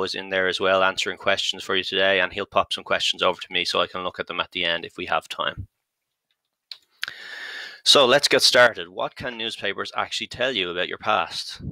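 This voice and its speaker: A man's voice: low voice, bright, forceful tone, dry recording, rough recording. A man with a Dublin Irish accent giving a live online talk, chatty and full of ums and restarts. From Ireland. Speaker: genealogy company content strategist presenting a webinar.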